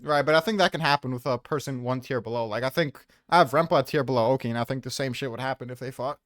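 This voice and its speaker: A man's voice: mid-range voice, fast talker, fairly bright tone, no echo, clean studio sound. A man in a casual debate, explaining his opinions with slang and swearing. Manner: slangy, profane. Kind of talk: casual debate about a manga tier list.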